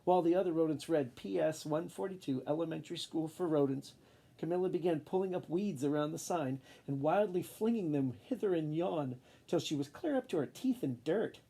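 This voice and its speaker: A man reading a children's picture book aloud in an expressive storytelling style. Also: on the high side, a little fast, gravelly, breathy edge.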